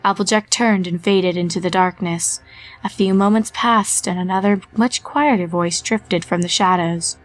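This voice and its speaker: A woman narrating a horror fanfiction story in a measured storytelling manner. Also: on the low side, soft background music.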